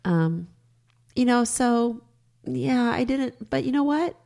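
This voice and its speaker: A middle-aged woman co-hosting a podcast in casual chat, with a raspy, slightly hoarse voice, frank and quick to laugh. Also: on the high side, animated, mellow. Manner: chatty, frank, laughs easily. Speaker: podcast co-host.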